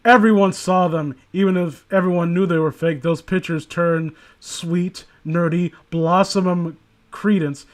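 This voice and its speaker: Male story-stream host, high voice, wry and crude, loose conversational banter. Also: steady tone, measured pace. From New York City. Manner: sarcastic, joking, foul-mouthed. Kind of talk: casual banter mixed with reading aloud.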